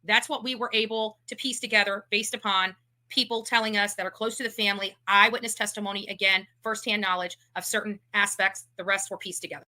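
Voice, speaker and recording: Brief: fast-talking woman commentator, forceful and accusing, hammers her points home. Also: mid-range voice, fairly even intonation, rough recording. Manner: emphatic, accusatory, repeats points. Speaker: online case commentator. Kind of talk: livestream commentary.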